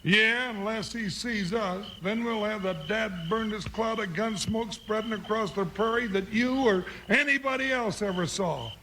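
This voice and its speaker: Casting: folksy, grumbling western sidekick, very high creaky voice, drawl, old radio western. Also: male voice, normal speed, few pauses, bright, forceful tone. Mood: folksy indignation. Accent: American Western drawl. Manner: folksy, exclamatory, complaining. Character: Jingles, folksy excitable grumbling cowboy sidekick. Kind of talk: 1950s radio western acting. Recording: noisy old radio recording, long reverb.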